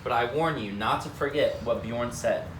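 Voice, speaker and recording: A man's voice: medium pitch, normal speed, lightly acted. A man playing a wise old wizard who guides and warns travellers in an amateur readers' theater reading. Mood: cautioning. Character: Gandalf, wise old male wizard guiding and warning travellers. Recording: noisy room, distant microphone.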